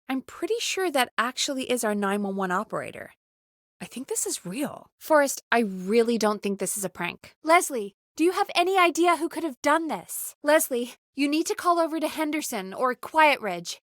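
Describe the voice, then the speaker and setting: An actress playing an earnest, wry woman who produces a radio show in a video game, speaking in an expressive, conversational way. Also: high voice, no room sound, studio quality. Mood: worried.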